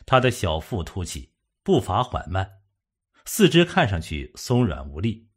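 A man's voice: deep voice, huge pitch swings, unhurried, slightly rough. A man narrating a suspense novel from a script, as an audiobook reader.

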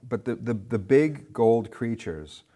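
A man's voice: medium pitch, animated, slow pace, warm tone. A man in his forties chatting casually as a guest, rambling and emphatic, often repeating himself. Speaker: guest on a film discussion show. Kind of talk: casual chat. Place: indoor studio stage with curtain. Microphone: lapel mic.

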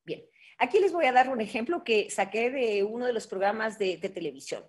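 A middle-aged woman with an Ecuadorian Andean accent, giving an online linguistics lecture in a measured, explanatory way with fillers and tag questions, recorded on a webcam. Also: a little high, lively intonation, husky. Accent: Ecuadorian Andean Spanish. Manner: measured, explanatory, with frequent fillers and rhetorical tags. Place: home office with bookshelves. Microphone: webcam on a video call.

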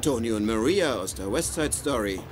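A man's voice: medium pitch, lively intonation, normal speed, faint music behind, natural voice. An actor playing an awkward, mild-mannered nerdy man with a hesitant, wordy way of talking in a dubbed sitcom. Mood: awkward reassurance.

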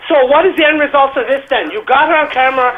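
A woman argues sternly over a dull phone line, with other voices behind her. She talks fast and very high, in a confrontational manner. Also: a crowd in the background. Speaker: prank call recipient. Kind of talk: argumentative phone talk.

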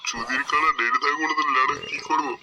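A man's voice: fairly high pitch, steady tone, very fast, pushed and bright, a little hiss or hum. A man voicing a crude, foul-mouthed old grandfather who grumbles and complains, in a comedy cartoon. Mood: cranky, grumbling. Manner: cranky, swearing, complaining.

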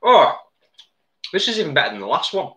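A man's voice: fairly high pitch, big pitch swings, brisk, bright, forceful tone. A man chatting casually on a live cooking stream from his home kitchen, laughing often and reading out viewer comments.

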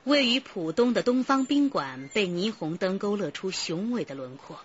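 Female crime-drama narrator: expressive intonation, scripted delivery, slightly muffled recording with light music. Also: mid-range voice, a little hiss or hum. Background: background music.

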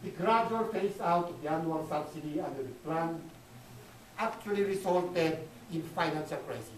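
A man with a Filipino accent giving a live church conference presentation, emphatic and repeating his phrases. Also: high-pitched. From Philippines. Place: dim indoor conference room. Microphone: camera in the audience, at a distance. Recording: roomy, distant camera mic with noise.

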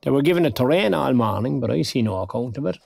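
A man's voice: medium pitch, animated, quick pace, vocal fry, a little mellow, dry recording. An actor with an Irish Cork accent playing a mild, dignified retired man in a naturalistic audio drama. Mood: mild, matter-of-fact. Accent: Irish, County Cork. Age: older.

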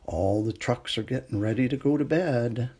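A middle-aged man reading a children's picture book aloud in a gentle, unhurried bedtime manner, with a husky, creaky voice. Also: mid-range voice. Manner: gentle, unhurried bedtime delivery with hushed refrains. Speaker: storytime reader.

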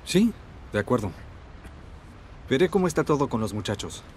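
An actor playing a rugged, easygoing middle-aged man in a dubbed video game, relaxed and friendly. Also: mid-range voice, normal speed, lightly acted. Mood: calm. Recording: game audio with ambient noise.

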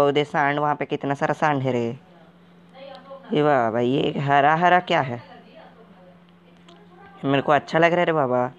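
A man's voice: on the high side, dull audio. A man putting on a bumbling talking game wanderer. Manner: ends nearly every line with a drawn-out "re baba" catchphrase, jumpy and naive. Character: clueless, excitable uneducated man wandering a game. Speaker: gaming video character. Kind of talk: comic game commentary in character.

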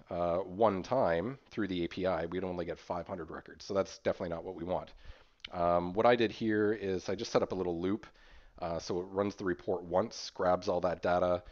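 A man presenting an informal screen-share software tutorial in a hesitant, explanatory way. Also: low-pitched. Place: voice-over recorded over screen capture. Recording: dull, muffled lo-fi audio.

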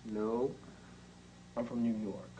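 An actor playing a temperamental, demanding American rock star in a comic film, blunt and insistent. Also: male voice, mid-range voice, steady tone, slow, background noise, natural voice. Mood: matter-of-fact. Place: small crowded backstage dressing room.

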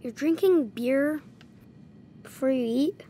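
A woman voicing an imaginative ten-year-old boy who talks slowly and sincerely. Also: sits high, mellow, slight background noise. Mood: earnest. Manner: slow, hesitant, sincere.

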